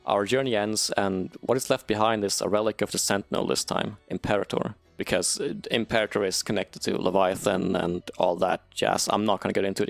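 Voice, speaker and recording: A man's voice: lowish voice, creaky, no echo. A man giving an informal, wry video game recap, swearing casually.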